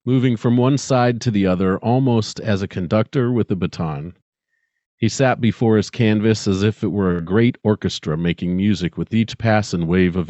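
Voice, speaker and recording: Low-voiced man narrating a story, dry sound. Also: darkish tone, dry recording, muffled recording. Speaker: podcast host reading own story. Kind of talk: dramatic scripted story narration.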